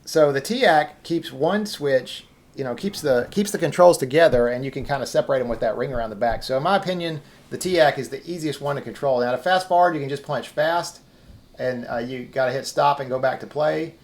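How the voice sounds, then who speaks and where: A man's voice: medium pitch, brisk. A man hosts an informal demonstration in a casual, unscripted way. He explains things as he goes, hesitating here and there as he finds his words. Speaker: video host.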